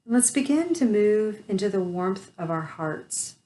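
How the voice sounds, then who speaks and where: A woman leading a guided meditation, speaking softly and soothingly with long pauses. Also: medium pitch, animated.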